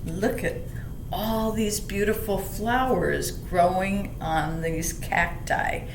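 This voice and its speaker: Female library story reader for kids, slow explanatory delivery, mid pitch, echoey recording with slight rumble. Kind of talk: read-aloud children's lesson with explanations. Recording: roomy echo, faint rumbling background noise.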